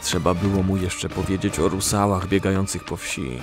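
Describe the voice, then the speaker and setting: A man's voice: deep voice, flat delivery, normal speed, music in the background. A man narrating a scripted fantasy audio story.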